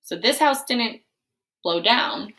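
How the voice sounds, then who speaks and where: A woman with a fairly high voice is reading a storybook aloud. She adds conversational asides and questions for the listeners. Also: animated, slow pace, sharp tone. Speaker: storybook reader.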